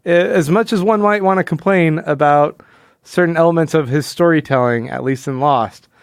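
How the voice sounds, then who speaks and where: Clear-voiced man, casual podcast chat. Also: on the high side, normal speed, dry recording, clean studio sound. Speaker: podcast host. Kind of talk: casual comic book analysis chat.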